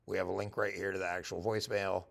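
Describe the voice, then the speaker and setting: A man with a gravelly, husky voice, casually walking through a software demo and thinking aloud as he goes. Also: low-pitched, level tone, fast. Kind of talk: casual screen-share product walkthrough.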